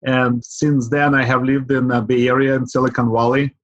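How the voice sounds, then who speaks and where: A middle-aged man from Ukraine, a podcast guest, talking in an earnest, measured way with fillers, often asking "right?". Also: mid-range voice, flat delivery, dry recording, lo-fi sound. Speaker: guest, real estate investor. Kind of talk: podcast interview.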